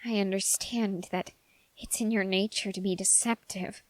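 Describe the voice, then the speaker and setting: A woman voicing a dark, obsessive young man in an ASMR roleplay, speaking formally in deliberate, halting phrases that can slip toward a whisper. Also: medium pitch, fairly even intonation, dry recording, lightly acted. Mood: pleading, intense. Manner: silky, formal menace, slipping into whispers.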